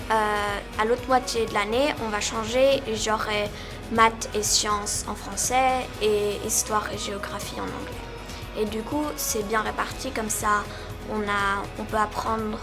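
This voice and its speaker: Slow, flat-voiced teenage girl student. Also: a little high. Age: teens. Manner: hesitant, many fillers. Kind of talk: interview. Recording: music clearly underneath.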